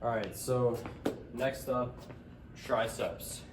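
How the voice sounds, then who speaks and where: Young man, stuffy nose, casual workout talk, far from the mic, roomy gym, clear background ambience. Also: medium pitch, extremely slow, heavy background noise. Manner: trips over words, strains between sets. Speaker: fitness vlogger.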